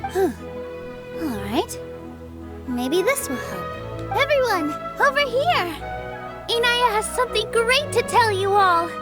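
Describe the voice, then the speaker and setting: A gentle young female performer in a video game. Her very high voice is soothing and encouraging, with a cheerful manner. Music plays clearly underneath. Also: big pitch swings, takes it slowly, long pauses, heavily acted.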